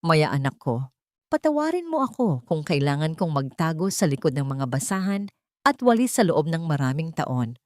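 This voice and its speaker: Gentle, devoted older dad in an audio drama, read by a woman, tender and earnest, low, dry sound. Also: big pitch swings, normal speed, no room sound. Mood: tender, apologetic. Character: gentle loving old father confessing a long-kept secret. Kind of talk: audio story character reading.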